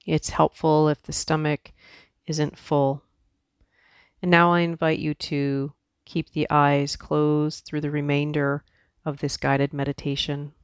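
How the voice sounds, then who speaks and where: A woman leads a guided meditation in a soft, soothing way, speaking very slowly with long pauses. Her tone stays very even, with a gravelly creak at the edges. She sounds like a teacher patiently giving relaxation instructions. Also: sits low, no room sound, studio quality.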